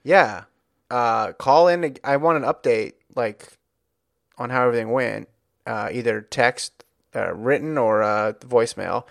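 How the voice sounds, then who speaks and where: Middle-aged podcast host, gravelly and dry, chatty and rambling. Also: male voice, medium pitch, unhurried, no echo. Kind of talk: casual chat.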